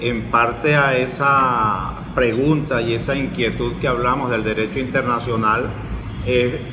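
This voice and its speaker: A man's voice: mid-range voice, fairly even intonation, measured pace. A Venezuelan man, a theatre professor, sharing his opinion in a panel interview. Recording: very echoey hall with loud noise.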